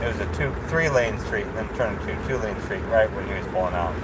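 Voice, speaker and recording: A man's voice: lowish voice, fast talker. Rambling man vlogging from his car. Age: 30s. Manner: meandering, many fillers and restarts, chuckles often. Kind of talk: rambling casual vlog. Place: parked car at night. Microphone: phone camera on dashboard. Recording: noisy car interior.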